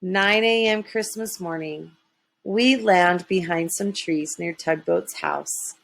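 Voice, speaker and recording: A woman's voice: mid-range voice, creaky, breathy edge, poor audio. A woman narrating a children's story expressively, with dramatic emphasis. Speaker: storybook reader.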